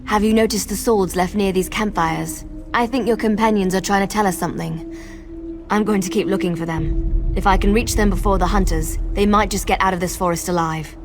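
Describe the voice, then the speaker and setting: A woman plays a weary clanswoman of an ancient forest people in a fantasy game. She speaks in a lowish voice, earnest and somber. Music is clearly heard behind her. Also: natural voice. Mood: weary, urgent. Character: grave, weary young-sounding female forest clan outsider-watcher, ancient lineage. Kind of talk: dramatic video game acting.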